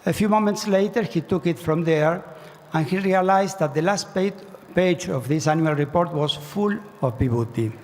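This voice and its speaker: A man's voice: on the high side, moderate pace, a little mellow. A man giving an earnest devotional address to a large gathering, pushing hard on key phrases. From Argentina. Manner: earnest, exhorting, with fervent rising emphasis. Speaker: speaker at a spiritual gathering. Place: large hall. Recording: long reverb of a large hall.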